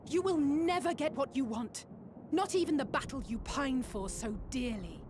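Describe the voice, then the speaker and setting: A woman's voice: sits high, expressive, moderate pace, noisy background. An actress playing a righteous young woman fighter who speaks in a firm, reproachful way in a video game cutscene.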